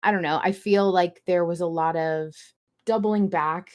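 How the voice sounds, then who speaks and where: A woman with a fairly low voice co-hosts a podcast. She talks in a casual, conversational way, as in a fan discussion of fiction. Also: fairly even intonation, moderate pace, darkish tone. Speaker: podcast co-host.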